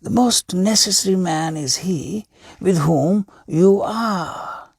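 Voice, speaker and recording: Man playing frail old storybook hermit, raised thin pitch, husky, patient, earnest teaching. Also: slow, no echo, clean studio sound, heavily acted.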